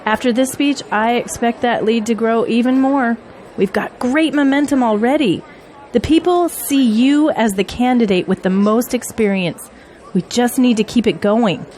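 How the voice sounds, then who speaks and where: Busy political strategist and mom played by a woman, middle-aged, high-pitched, earnest, radio-drama delivery, slight noise behind. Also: normal speed, a little mellow, some chatter behind, natural voice. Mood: businesslike, driven. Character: busy political campaign manager and single mother. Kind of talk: audio drama acting.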